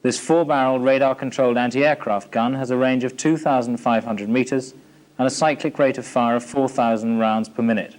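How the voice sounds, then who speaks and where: A man's voice: mid-range voice, steady tone, normal speed, darkish tone. A British man narrating a military training film in a formal, matter-of-fact, scripted instructional manner. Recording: 1980s archive training film soundtrack.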